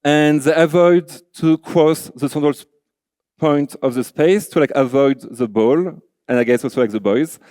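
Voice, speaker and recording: A man with a French accent addresses a conference, earnest and loose, leaning on "like" and "you know". His voice sits a touch high for a man, yet mellow and smooth. The sound is dry and close. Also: moderate pace, dry recording. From France. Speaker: conference speaker, designer. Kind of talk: conference talk. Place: large auditorium.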